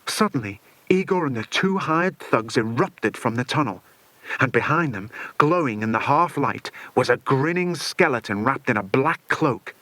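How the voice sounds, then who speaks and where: A man's voice: mid-range voice, animated, normal speed, a bit bright, no echo, rough recording. A man narrating a children's adventure story as an audiobook, in a dramatic scripted style.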